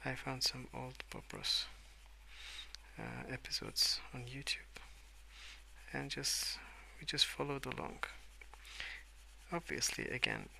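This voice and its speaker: A man speaking in a soft, hushed ASMR ramble, drifting between murmur and whisper in a hesitant, unhurried way. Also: mid-range voice, huge pitch swings, creaky, a little hiss or hum, rough recording. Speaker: host of an ASMR colouring channel. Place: indoors at a wooden desk.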